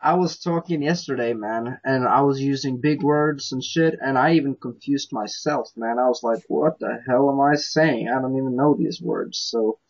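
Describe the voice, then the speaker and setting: A man whose first language is Norwegian, hosting a podcast in casual, profane, slangy chat with halting restarts. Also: medium pitch, slightly rough, lo-fi sound. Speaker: podcast host.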